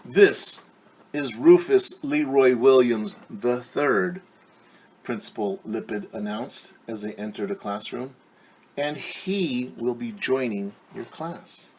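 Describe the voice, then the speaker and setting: A man's voice: mid-range voice, slow pace, slightly rough, poor audio. A man teaching young children at story time, reading aloud and explaining in simple, encouraging words with questions to his listeners.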